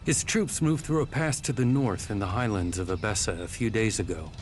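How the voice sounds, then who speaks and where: A man playing a wary, proud rebel soldier in a video game, speaking in a measured, formal and distrustful way. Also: lowish voice, a little hiss or hum, natural voice. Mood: guarded, matter-of-fact. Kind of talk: video game cutscene acting. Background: music.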